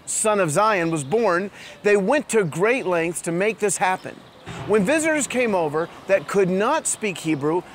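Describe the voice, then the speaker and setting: High-voiced man presenting outdoors. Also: a little hiss or hum. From immigrant to Israel. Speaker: host. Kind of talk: scripted presenting to camera. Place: outdoors in a town street.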